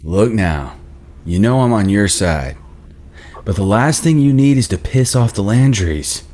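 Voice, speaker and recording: A man acting a soft-hearted, concerned small-town cop in a naturalistic screenplay read, earnest and familiar. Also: lowish voice, animated, measured pace. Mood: firm, cajoling. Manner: earnest, gently cajoling, plain-spoken.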